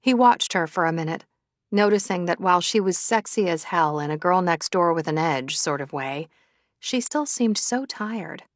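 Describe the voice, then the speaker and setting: A woman's voice: fairly low pitch, lively intonation, normal speed, dry recording. A woman reading a romance novel aloud as a scripted audiobook narrator.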